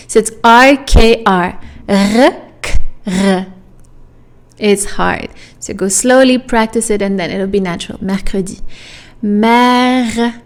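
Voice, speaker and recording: A native French-speaking woman tutoring pronunciation, drilling sounds one at a time and checking in with "okay?". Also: medium pitch. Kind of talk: pronunciation lesson.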